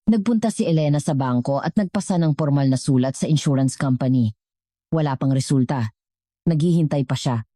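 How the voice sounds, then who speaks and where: A woman reading scripted dramatic story narration in a measured way, as the narrator of an audio drama. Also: a bass voice, warm tone, no room sound, clean studio sound.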